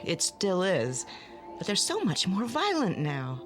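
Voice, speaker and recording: Harried, strict mom, slightly low voice with animated swings, anxious scolding, radio drama. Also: female voice, measured pace, soft background music. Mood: worried. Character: harried, strict, worried mother of young boy. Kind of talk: modern radio drama acting.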